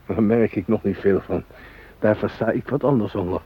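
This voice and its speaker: An actor in a radio drama playing an ordinary captive family man, shaken but protesting in halting, plain-spoken phrases. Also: on the low side, breathy edge. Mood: bitter, wry. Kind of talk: radio drama acting, naturalistic. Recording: dull lo-fi radio drama recording.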